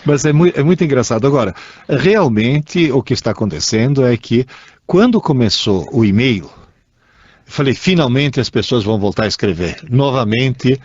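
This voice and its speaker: A man's voice: medium pitch, animated, moderate pace, a little mellow, dry recording. Brazilian man hosting, dry muffled sound. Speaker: radio co-host. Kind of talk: conversational explanatory radio talk. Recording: dull lo-fi radio recording.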